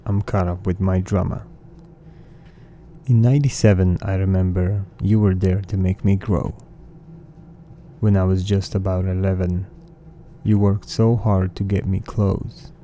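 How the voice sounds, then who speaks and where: A man in his thirties whose first language is Russian, hosting a podcast and reading his own lyrics in an earnest, measured recitation. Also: lowish voice, warm tone, slight background noise. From Russian-speaking background. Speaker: podcast host. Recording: faint music bed behind voice.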